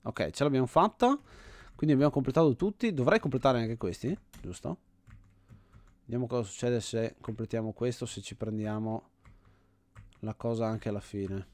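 A man's voice: mid-range voice, moderate pace, pauses often, a little mellow, no room sound. Italian man commenting casually in a dry room. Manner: thinks aloud, often repeating himself. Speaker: host of an indie game video series.

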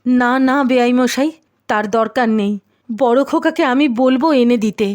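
A woman voicing a meek, patient, middle-aged mother-in-law in a cartoon, gentle and apologetic in the way she talks. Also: a little high, moderate pace, close to an everyday voice. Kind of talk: Bengali cartoon voice acting.

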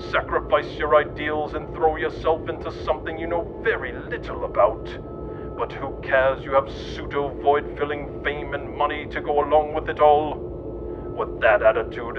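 A man reading scripted satirical narration in a mock-serious, declamatory manner. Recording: dull lo-fi audio, loud rumbling noise.

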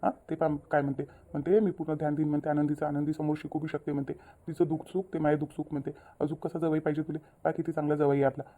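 A middle-aged village husband played by a woman in a cartoon story. The voice is very mellow and low, consoling and repetitive, fast-paced. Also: level tone. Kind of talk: amateur cartoon story dialogue.

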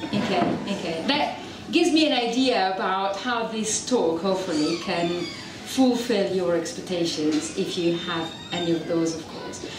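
A woman's voice: fairly low pitch, measured pace, few pauses, far from the mic, noisy background. A middle-aged woman from Italy with a strong Italian accent, giving a chatty, self-deprecating public lecture on a handheld mic. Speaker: university researcher giving a public talk. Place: dark event venue with audience. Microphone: handheld mic, filmed from a distance. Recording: echoey venue recorded from afar, crowd present.

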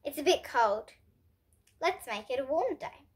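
Child playing a little storybook boy, high lively voice, eager, confident, imaginative. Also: unhurried. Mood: cheerful, bossy.

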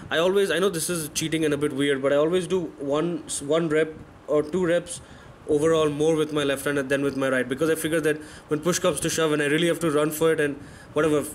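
A man's voice: on the high side, steady tone, fast talker, slight background noise. A man in his thirties, a fitness vlogger, giving candid, self-correcting voice-over commentary on his own workout footage. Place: voice-over, not recorded in the pictured gym.

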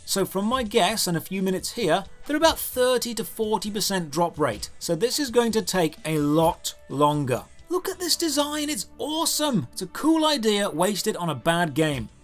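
A man narrates a comedic game review in a high, swooping voice. His delivery is sarcastic and exasperated, clearly scripted for a voice-over, with music playing behind him. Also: sing-song, normal speed, few pauses.

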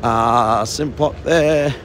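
A man's voice: mid-range voice, extremely slow. A man with an Australian accent, casually narrating a walking tour of a trade show, chatty and offhand as he points things out. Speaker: vlogger touring a trade show. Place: large indoor convention hall, trade show floor. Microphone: handheld phone camera, filming as he walks. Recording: noisy convention hall on a phone.

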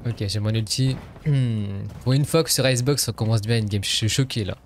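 A man's voice: mid-range voice, fairly quick, a little hiss or hum. A man live-streaming a game in casual, slangy French sprinkled with English gaming terms, chatting as he plays.

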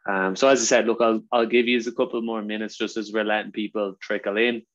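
A man's voice: on the low side, little pitch movement, a bit bright, highs cut off. Chatty Irish man on a video call. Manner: fast-talking, chatty, filler-heavy. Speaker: webinar presenter. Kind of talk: casual webinar chat.

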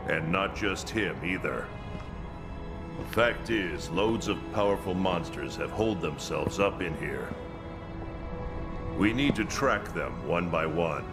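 A brash old admiral. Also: male voice, deep voice, animated, takes it slowly, long pauses, heavily acted. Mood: hearty. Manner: hearty, boastful, chuckling. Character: gruff veteran male explorer admiral, brash and jovial. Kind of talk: video game cutscene voice acting. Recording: game cutscene with loud music.

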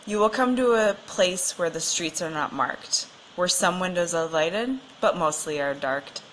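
A woman's voice: fairly low pitch, expressive, unhurried, a bit bright, a little hiss or hum, low-quality recording. A woman reading a rhyming children's story aloud. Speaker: volunteer reader. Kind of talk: picture-book read-aloud.

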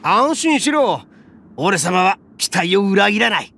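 A boastful brash oni. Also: male voice, high-pitched, sing-song, slow pace, sharp tone, exaggerated voice. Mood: reassuring. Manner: swaggering, rough and boastful. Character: brash boastful male oni, loud and big-hearted. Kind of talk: game voice acting. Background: game music.

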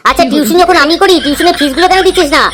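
Woman doing fed-up cartoon tuition teacher, high voice, always scolding and moaning. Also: very fast, fairly bright tone, a little hiss or hum. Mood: demanding. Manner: scolding, complaining. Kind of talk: cartoon comedy voice acting.

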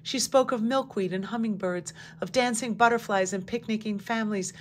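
Woman reading a kids picture book, calm even voice, middle pitch, faint hum in the background. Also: moderate pace. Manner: calm, even storytelling, hushed at quiet moments. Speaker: storybook reader. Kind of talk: picture book read-aloud narration.

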